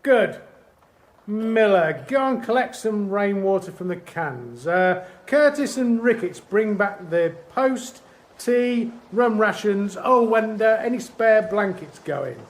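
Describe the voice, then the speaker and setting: A man acting a brisk, caring army sergeant giving clipped orders in a First World War radio drama. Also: high voice, expressive, heavily acted. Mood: commanding. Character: dutiful, caring army sergeant in WW1 trenches.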